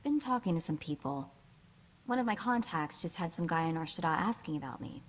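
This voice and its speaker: A woman's voice: fairly low pitch, lively intonation, fairly quick, slight background noise, lightly acted. A woman voicing a plucky, street-smart young slicer in a video game, wry and breezy, with clipped slangy talk.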